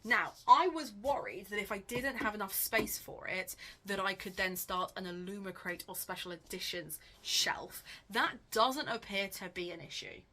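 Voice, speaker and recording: A woman book vlogger chatting casually and thinking aloud, with a wry, animated, self-questioning way of talking. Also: medium pitch, moderate pace, few pauses.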